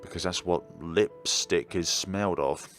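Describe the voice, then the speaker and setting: Low-voiced man commenting bluntly. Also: measured pace, fairly bright tone, soft background music. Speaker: gaming YouTuber. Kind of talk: reading and commenting on a visual novel.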